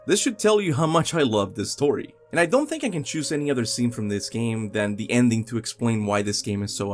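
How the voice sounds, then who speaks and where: A young man narrating a scripted video game countdown as host, with music behind him. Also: medium pitch.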